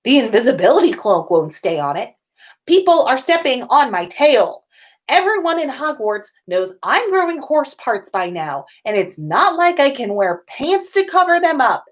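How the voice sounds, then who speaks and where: An exasperated teenage wizard boy as a woman reader plays him, pitched up high. He complains with heavy emphasis, mortified and fed up, in a comic storybook reading. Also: very animated, a little slow. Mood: angry ranting.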